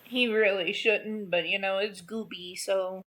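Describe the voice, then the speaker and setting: Slow-talking woman chatting casually. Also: mid-range voice, fairly even intonation, a bit bright. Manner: informal, slangy. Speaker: co-commentator on a reaction video. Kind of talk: casual chat.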